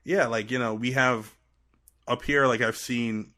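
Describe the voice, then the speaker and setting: A man's voice: medium pitch, steady tone, unhurried, pushed and bright. A man from Trinidad chatting casually and playfully as a podcast guest. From Trinidad and Tobago. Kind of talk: casual chat.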